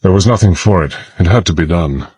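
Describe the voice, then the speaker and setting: An actor playing a resolute middle-aged gentleman adventurer, narrating his exploits in measured, formal, old-fashioned phrasing. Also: sits low, husky, dry recording. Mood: grave, reflective. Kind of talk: dramatised first-person narration and dialogue.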